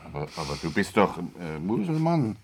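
An actor playing a grumpy, sceptical older police inspector in a radio drama, talking in a curt, grumbling way. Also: male voice, low voice, very animated, normal speed, a little mellow, slightly rough, exaggerated voice. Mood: sceptical, gruff.

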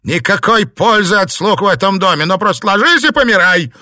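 A man voicing a vain, hysterical middle-aged wife who shrieks and wails in a high, lively voice. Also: normal speed, no echo, clean studio sound, exaggerated voice. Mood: shrill, hysterical. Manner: shrieking and wailing.

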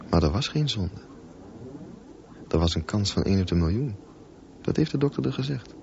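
A man's voice: fairly low pitch, very animated, unhurried, vocal fry, long pauses, a little mellow, full character voice. An actor playing a brooding, earnest teenage boy swimmer in a radio drama. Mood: bitter, brooding. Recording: dull, noisy radio play recording.

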